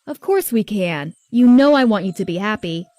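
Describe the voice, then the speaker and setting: A woman's voice: medium pitch, lively intonation, moderate pace, a little mellow, no echo, natural voice. A woman voicing a protective middle-aged father with an emphatic manner, in a told story. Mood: doting. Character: doting, easily angered middle-aged father.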